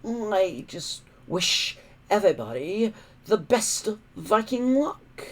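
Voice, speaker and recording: Man playing oily, slow-talking cowardly schemer. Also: high voice, very animated, voice pushed up, full character voice. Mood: feigned regret. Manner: oily, drawn-out, grandiose speechmaking. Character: smooth, falsely regretful male villain, a cowardly schemer.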